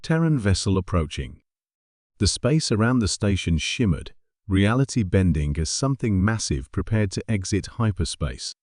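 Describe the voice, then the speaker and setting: A man reading a scripted science fiction story in a measured, dramatic storytelling manner. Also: fairly low pitch, expressive, darkish tone, dry recording, clean studio sound. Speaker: story narrator.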